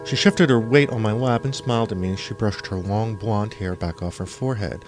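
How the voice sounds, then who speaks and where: A man's voice: on the low side, fairly quick, music in the background. A man reading his own scripted first-person fiction as an audiobook narrator.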